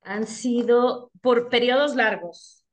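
A woman's voice: a little high, takes it slowly, crowd noise, low-quality recording. Middle-aged woman in casual chat. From United States, Texas. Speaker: podcast guest, English teacher.

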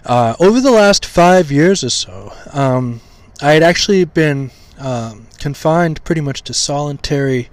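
Slightly hoarse man teaching in halting bursts. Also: a little high, lively intonation, no echo. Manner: halting, many ums and restarts, deliberate enunciation. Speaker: podcast host teaching scripture. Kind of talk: informal scripture teaching.

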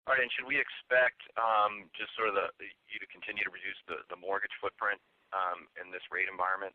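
A man, a financial analyst, asking spontaneous questions on a call with hesitant, halting phrasing. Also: fairly low pitch, level tone, dry recording. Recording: dry, narrow telephone line.

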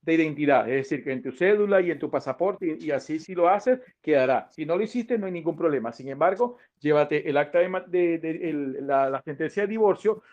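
A man's voice: fairly high pitch, expressive, fairly quick, few pauses, a little mellow, lo-fi sound. A man speaking as a lawyer, giving an unscripted explanation in a formal, didactic manner.

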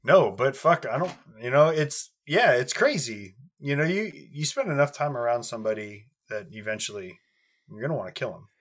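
A man's voice: mid-range voice, lively intonation, moderate pace. A man in casual podcast chat, ranting heatedly with frequent swearing.

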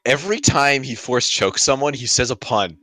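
Animated man chatting, close and dry. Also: medium pitch, normal speed, dry recording. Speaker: podcast panelist. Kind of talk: casual chat.

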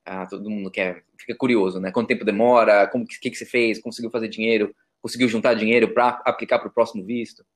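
A man's voice: lowish voice, fairly even intonation, quick pace, few pauses. A man with a Brazilian Portuguese accent hosting a casual podcast interview, informally rephrasing his questions and points as he goes.

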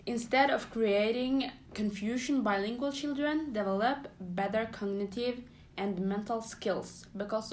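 A young woman from Somalia co-hosting a student podcast, reading explanations with short pauses. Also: fairly high pitch, a little hiss or hum. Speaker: podcast co-host. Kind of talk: student podcast, partly read.

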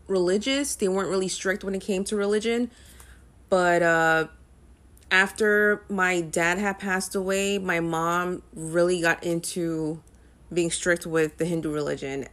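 A woman's voice: on the low side, fairly bright tone. A young woman talking casually to camera as a music vlogger, rambling with frequent ums and uhs.

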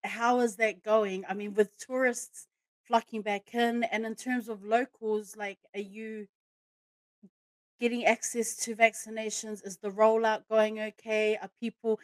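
High-voiced enthusiastic woman hosting a podcast. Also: level tone, a little slow, no room sound. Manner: enthusiastic, familiar sisterly address. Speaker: podcast host. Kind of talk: casual podcast interview.

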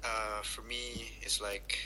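A man's voice: on the low side, level tone, slow, pushed and bright, rough recording. A man speaking as a podcast guest, hesitant, often stopping to search for words. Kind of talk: casual chat. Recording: faint background noise.

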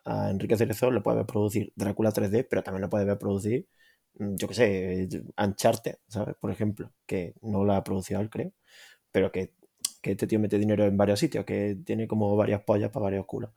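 A man's voice: on the low side, little pitch movement, fairly quick, gravelly, dark tone. A man from Spain with a Spanish accent, co-hosting a film podcast with sarcastic, profane rants. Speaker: podcast co-host. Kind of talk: casual crude film-podcast banter.

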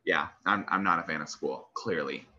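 A man's voice: a bass voice, moderate pace, muffled recording. Profane young man with a lisp. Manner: profane, laughing, animated. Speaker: podcast co-host. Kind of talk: casual chat.

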